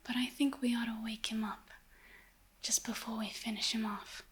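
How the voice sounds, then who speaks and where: A woman whispering as a cold-blooded female noir accomplice in an ASMR roleplay, hushed and commanding. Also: a little high, little pitch movement, moderate pace, lightly acted. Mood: cool menace. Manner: hushed, commanding, coolly ruthless. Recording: distant whisper with faint music.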